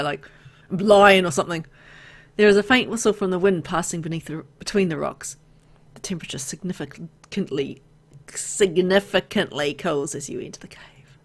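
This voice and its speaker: Low, creaky-voiced woman narrating a game. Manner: reacts playfully to the story with exclamations and asides. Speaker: video game let's player. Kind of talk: reading game narration aloud with casual asides.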